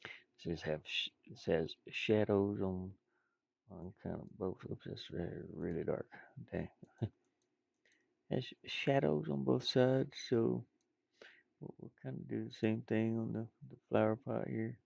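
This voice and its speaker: A man's voice: fairly low pitch, measured pace, creaky, a little mellow, dry recording, lo-fi sound. A man thinking aloud in casual, rambling chat as he works on game art, muttering and making playful asides. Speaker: indie game designer streaming.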